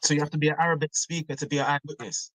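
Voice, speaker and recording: A man's voice: a little high, little pitch movement, very fast, a bit bright, dry recording, poor audio. A man in a religious debate who questions politely but firmly and keeps calling the other man brother.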